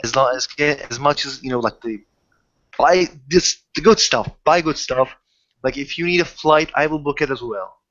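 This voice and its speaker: A protective high-ranking werewolf played by a man at a gaming table, speaking at a middle pitch. He is earnest and insistent, circling back over his words haltingly, on a muffled lo-fi recording. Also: a bit bright, close to an everyday voice. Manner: halting, repetitive, earnest pleading. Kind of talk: improvised tabletop roleplay dialogue.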